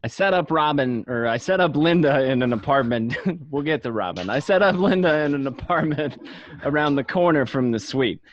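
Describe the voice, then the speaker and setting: A man's voice: on the high side, expressive, moderate pace, no room sound, lightly acted. A man acting a casual, streetwise gangster who narrates his own life in an amateur radio crime drama. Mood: matter-of-fact. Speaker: actor in an online radio play. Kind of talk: amateur script reading, first-person crime drama. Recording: dull lo-fi home connection.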